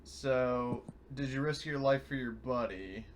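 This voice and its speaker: A man's voice: medium pitch, slow, a little hiss or hum. A man in casual tabletop game talk, reading out questions and thinking aloud hesitantly. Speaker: tabletop player reading out end-of-session questions.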